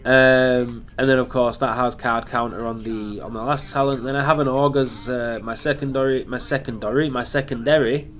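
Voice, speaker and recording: A man's voice: mid-range voice, fairly even intonation, moderate pace, hardly any pauses, a bit bright. A man hosting a gaming channel, talking through a build in casual commentary, sounding nasal and sniffly from hay fever. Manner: chatty, explaining step by step.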